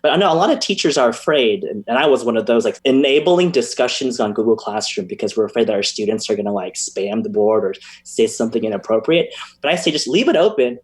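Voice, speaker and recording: A man's voice: mid-range voice, lively intonation, quick pace. A man, a guest educator, enthusiastically explaining teaching ideas in a casual podcast discussion, often restarting his sentences.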